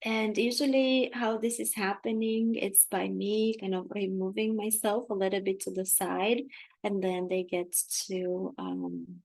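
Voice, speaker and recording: A woman with a Romanian accent, a native Romanian speaker, talking casually to viewers as a spiritual channeler, softly searching for words and correcting herself. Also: mid-range voice, a little slow, dry recording.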